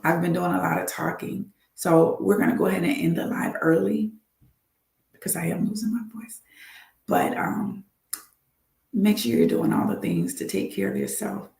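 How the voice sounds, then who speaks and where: A woman's voice: on the low side, steady tone, moderate pace, creaky, darkish tone, echoey room. A woman doctor hosting a live health talk, earnest and repeating her advice for emphasis.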